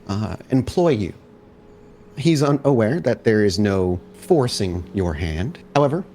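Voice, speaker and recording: A man's voice: fairly low pitch, darkish tone, a little hiss or hum, natural voice. A man voicing a courteous female messenger with hesitant, formal phrasing in a tabletop roleplaying game. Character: polite, courteous female messenger with long red nails.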